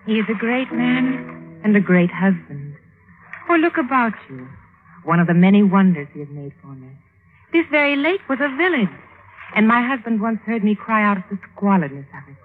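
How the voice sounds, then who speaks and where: A woman's voice: mid-range voice, very animated, moderate pace, natural voice. A woman playing a pampered, dreamy young princess in a classic radio drama, with languid theatrical phrasing. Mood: proud, dreamy. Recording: narrow-band old radio, faint noise.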